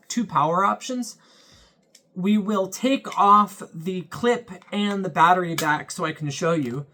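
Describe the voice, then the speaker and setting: A reviewer speaking at a mid-range pitch, walking through a device's pros and cons in a steady, explanatory tone. The delivery is unscripted and measured, with short thinking pauses between points. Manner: measured and explanatory, pausing with ums. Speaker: product reviewer.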